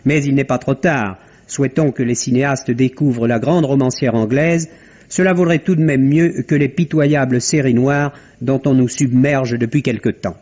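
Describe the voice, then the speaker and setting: A man's voice: medium pitch, fairly even intonation, moderate pace, darkish tone. A man delivering a formal, opinionated scripted commentary as a columnist on 1950s French radio. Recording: dull lo-fi vintage radio recording.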